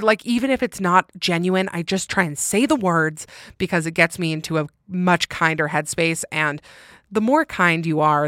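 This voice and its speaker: A woman hosting a podcast, chatting casually and candidly on her own with emphatic delivery and a loud, intense voice. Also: fairly low pitch, dry recording, clean studio sound. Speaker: podcast host. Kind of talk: casual solo chat answering listener questions.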